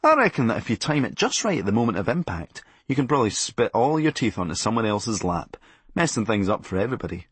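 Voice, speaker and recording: Husky, low-pitched Scottish man with animated delivery. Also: quick pace, no echo. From Scotland. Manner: sardonic, darkly comic, blunt. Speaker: comedian narrating a memoir audiobook. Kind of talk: scripted comic memoir narration.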